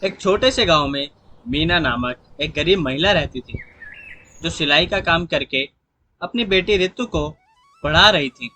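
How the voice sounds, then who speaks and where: Bright narrator voice reading a kids moral story, mid pitch, music in the back. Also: lively intonation, a little slow.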